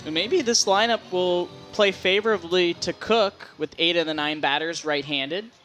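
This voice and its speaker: A man's voice: sits high, moderate pace, a bit bright, faint music behind. A man giving conversational analysis as a co-commentator on a baseball broadcast. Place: sports broadcast at a baseball game.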